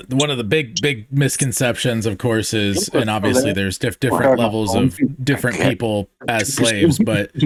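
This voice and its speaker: A man hosting a casual podcast, telling a story in a rambling way with restarts and tangents. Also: medium pitch.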